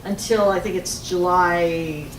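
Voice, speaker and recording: A woman who is a teacher giving an informative public comment in a loud teacher voice, with many ums. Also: on the low side, steady tone, unhurried. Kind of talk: public comment at a board meeting. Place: township hall meeting room. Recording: echoey room from a far mic, noisy.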